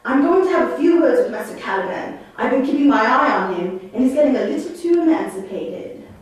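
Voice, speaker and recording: A woman acts out an imperious, scornful old sorcerer for a puppet show. The voice is high and highly animated, commanding and scolding in a theatrical way. It rings through a very echoey room. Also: a little fast. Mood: irritated, imperious. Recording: very echoey room, distant mic.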